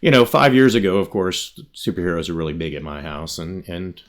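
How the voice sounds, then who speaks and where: A middle-aged man telling stories casually in an interview, with an animated, emphatic and salty way of talking. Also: low-pitched, a little fast. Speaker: writer and creator of a radio drama.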